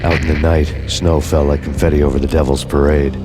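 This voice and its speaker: Brooding hardboiled detective, extremely deep voice. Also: male voice, over music. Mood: brooding, wry. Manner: slow, grim, poetic deadpan. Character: brooding hardboiled male detective narrator, world-weary and wry. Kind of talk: noir scripted narration.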